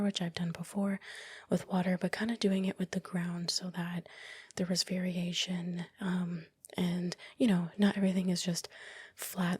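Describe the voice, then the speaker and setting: A woman giving soft, unhurried ASMR commentary in a breathy whisper, explaining informally, close to the microphone. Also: on the low side, no room sound. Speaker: video creator.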